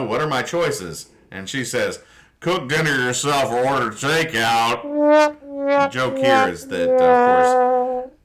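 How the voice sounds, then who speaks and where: A man's voice: medium pitch, a bit bright. A man hosting a podcast, chatting casually and explaining things with mock-serious care. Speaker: podcast host. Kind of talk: casual chat, describing comic strips.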